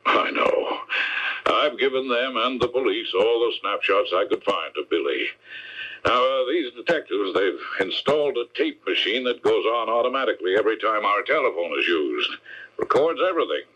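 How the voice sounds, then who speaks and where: Low, husky, worried husband. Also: male voice, sing-song, moderate pace, pushed and bright, full character voice. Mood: tender, reassuring. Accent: American. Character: devoted, worried husband, gentle and reassuring. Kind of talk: radio mystery drama acting. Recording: muffled 1970s radio broadcast.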